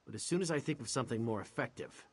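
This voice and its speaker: A man's voice: medium pitch, animated, fast talker, natural voice. A man voicing a disillusioned, impatient warrior in a fantasy video game, speaking bluntly with a wry edge. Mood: frustrated, defiant.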